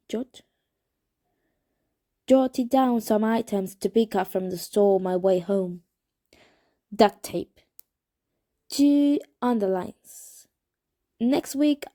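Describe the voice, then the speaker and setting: Slow, mellow-voiced woman with Spanish accent. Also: medium pitch. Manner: unhurried, hesitant, self-correcting. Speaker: amateur reader of a novel. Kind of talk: casual reading aloud with chatty asides.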